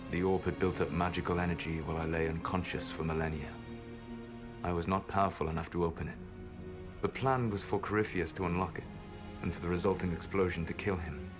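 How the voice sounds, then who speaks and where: A man acting in a video game as an ancient elven mage, calm, resolute and sorrowful, speaking in a measured, formal way. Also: low-pitched, music in the background, natural voice. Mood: grave, explanatory.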